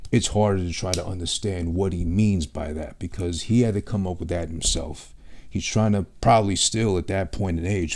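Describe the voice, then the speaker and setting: A man's voice: low-pitched, mellow. Blunt, profane man with a New York accent. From Peru. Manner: rambling, thinking aloud. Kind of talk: casual monologue.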